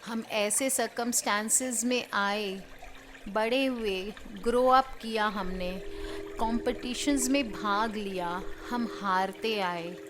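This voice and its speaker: An Indian woman leading a spiritual healing guided meditation in measured short phrases with pauses between them. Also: medium pitch. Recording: clear background noise of trickling water.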